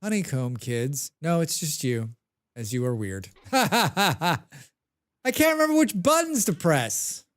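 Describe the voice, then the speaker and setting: A man with a head cold talks through a survival game on a live stream, his pitch bouncing up and down as he goes. He hums little tunes under his breath and chats back to his viewers in a loose, casual way. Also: very animated, slow pace, a bit bright. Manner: chatty, hums to himself, answers chat.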